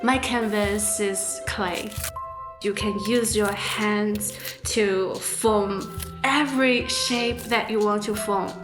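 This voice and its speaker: A woman's voice: medium pitch, steady tone, slow pace. A woman talking reflectively about her own work as an artist, in documentary interview style. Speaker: clay artist, workshop leader. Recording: roomy echo. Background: music.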